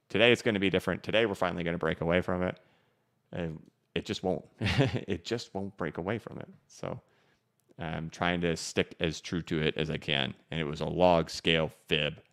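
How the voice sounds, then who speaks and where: A man's voice: deep voice, fairly bright tone. A man hosting a live stream, reading viewer messages and answering in a casual, rambling way with many restarts. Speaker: crypto livestream host. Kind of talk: live-stream chat reading super chats. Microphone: studio microphone.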